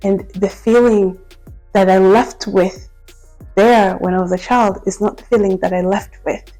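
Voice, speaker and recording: Mellow-voiced young woman, reflective. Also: medium pitch, fairly even intonation, unhurried, faint music behind, poor audio. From Democratic Republic of Congo. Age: 20s. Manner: reflective and candid, with frequent "like" and restarts. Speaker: vlogger. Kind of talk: casual personal vlog.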